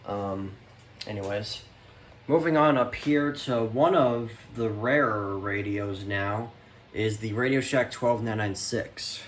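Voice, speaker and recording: Casual man, lowish voice, off-mic. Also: slow pace. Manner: slangy, casual, easily exasperated. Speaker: vlogger showing a radio collection. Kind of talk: casual unscripted show-and-tell. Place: indoors, room at home. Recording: distant mic, faint background noise.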